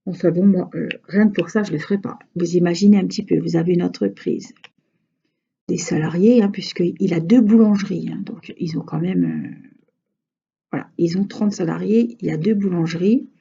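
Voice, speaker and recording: A chatty woman with a Franche-Comté accent talks casually to her viewers, drawing out her letters and filling pauses with hesitations. Her voice is dark, husky and gravelly. The recording sounds dull and lo-fi. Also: on the low side. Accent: Franche-Comté French. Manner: chatty, rambling, frequent hesitations. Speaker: crafting vlogger. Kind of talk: casual chat while crafting.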